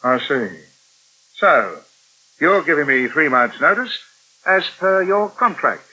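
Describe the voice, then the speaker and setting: A brusque British newspaper proprietor in a 1980 radio soap. The voice is very sharp and forceful, fairly high and lively in pitch, with total self-assurance. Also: male voice, slow, a little hiss or hum, exaggerated voice. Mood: curt. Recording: dull 1980 radio recording.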